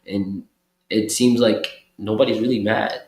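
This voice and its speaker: A man's voice: fairly low pitch, steady tone, unhurried, darkish tone. A young man from Kenya talking as a guest in a casual interview, loose and conversational with many fillers and restarts. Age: young adult. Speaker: guest, community program worker. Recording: roomy echo.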